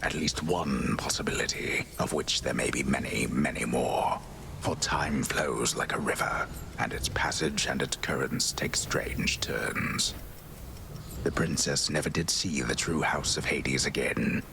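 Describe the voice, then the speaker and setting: An actor playing a grave, all-knowing storyteller, narrating a mythic video game in a hushed, gravelly, measured voice. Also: male voice, extremely low pitch, fairly even intonation, background noise, full character voice. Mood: solemn. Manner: measured, solemn, ornate phrasing. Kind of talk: scripted dramatic game narration.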